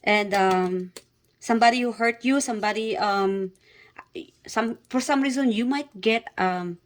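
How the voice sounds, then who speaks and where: A woman from the Philippines with a Filipino accent gives a casual tarot reading to her viewers, pausing often with fillers and repeating herself. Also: a little high. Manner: hesitant, many fillers and repeats, speaks directly to viewers. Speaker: tarot reader.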